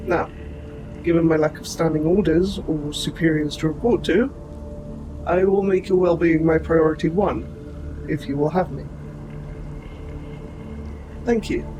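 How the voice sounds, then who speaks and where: Robot soldier, male actor: fairly high male voice, formal and precise, protective and gentle, audio drama with prominent music underneath. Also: rough recording. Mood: earnest, devoted. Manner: formal, precise, measured wording. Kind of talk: scripted audio roleplay, addressing the listener.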